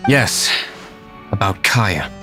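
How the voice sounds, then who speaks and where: A man's voice: on the low side, animated, bright, forceful tone, heavily acted. Reserved, brooding nobleman. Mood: brooding, calculating. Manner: formal, reserved, measured. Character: reserved, brooding male noble winery owner, calculating. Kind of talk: English anime game dub. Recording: background music.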